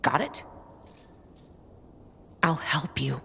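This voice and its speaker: A woman's voice: expressive, very slow, creaky, pushed and bright, slightly rough, exaggerated voice. An actress playing a tough, loyal young woman fighter in a video game, blunt and sardonic in manner. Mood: firm, insistent. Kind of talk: dramatic video game acting. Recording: reverberant space with noisy ambient rumble.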